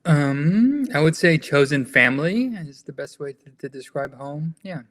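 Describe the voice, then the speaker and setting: Bright-voiced American man, pausing often. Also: a little high, animated. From United States. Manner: measured, with frequent um and uh pauses. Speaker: nonprofit founder, guest. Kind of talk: conversational interview answers.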